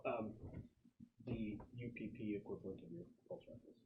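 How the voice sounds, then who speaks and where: A man's voice: lowish voice, level tone, normal speed. A man narrating a tabletop game casually as game master, slipping in and out of character and swearing now and then. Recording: muffled, hissy room recording with clicks.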